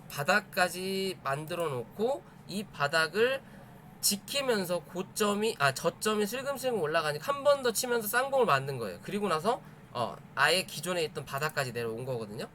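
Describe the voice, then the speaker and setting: High-pitched, animated man teaching. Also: a little hiss or hum. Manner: emphatic, repeats phrases, asks rhetorical check questions. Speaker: host of a stock chart study stream. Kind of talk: informal live lesson, explaining stock charts.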